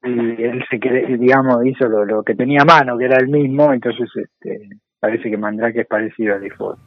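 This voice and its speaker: A man's voice: mid-range voice, fairly even intonation, fairly quick, dry recording. A man chatting casually as a podcast guest, loosely rephrasing as he goes. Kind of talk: casual chat. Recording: dull, dry, radio-like sound.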